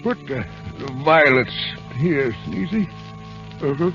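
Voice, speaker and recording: An actor playing an excitable little dwarf who shouts orders to the others, in a 1930s radio drama. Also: male voice, fairly high pitch, takes it slowly. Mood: tender. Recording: lo-fi 1930s radio broadcast with music.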